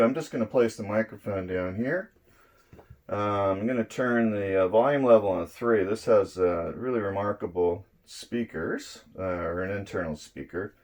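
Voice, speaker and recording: Guy, kinda low voice, casually showing how a device works, takes his time. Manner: unhurried, explains step by step. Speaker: presenter of electronics demo videos. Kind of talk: casual hands-on product demonstration. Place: indoors at a draped table.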